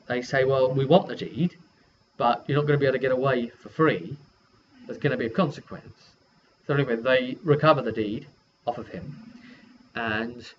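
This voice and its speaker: A man's voice: mid-range voice, slight background noise. Man recapping a tabletop game, muffled recording. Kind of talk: casual vlog storytelling.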